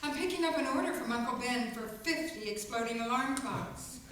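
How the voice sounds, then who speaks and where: An actress playing a kindly, wealthy, chatty widow in a stage radio play. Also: female voice, fairly high pitch, moderate pace, a bit bright, natural voice. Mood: gracious, curious. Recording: echoey hall from far off, faint noise.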